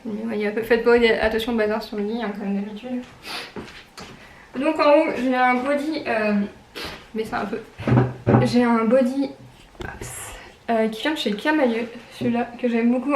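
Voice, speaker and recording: A woman's voice: on the high side, echoey room, a little hiss or hum, poor audio. A young woman with a cold and a stuffy nose, chatting casually and rambling through a daily vlog at home. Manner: chatty, rambling, many fillers and self-corrections. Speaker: vlogger.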